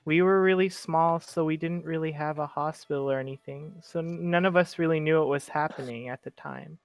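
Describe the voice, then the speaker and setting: A player voicing an earnest, frustrated teenage boy orphan in a tabletop roleplay, speaking haltingly in an everyday voice. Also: medium pitch, steady tone, dry recording, lightly acted. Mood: subdued, sad.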